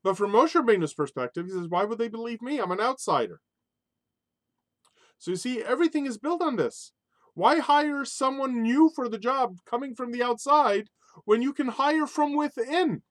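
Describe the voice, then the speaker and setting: A man giving a spoken Torah lesson in an animated, emphatic way, mixing Hebrew religious terms into English. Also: very high voice, moderate pace, no echo. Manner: animated, emphatic, rises sharply on key points. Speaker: Torah teacher.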